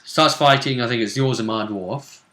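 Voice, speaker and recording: A man's voice: medium pitch, brisk, bright, forceful tone. A man hosting a casual conversational recap of a tabletop role-playing game, recorded in a room. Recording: roomy, echoing sound.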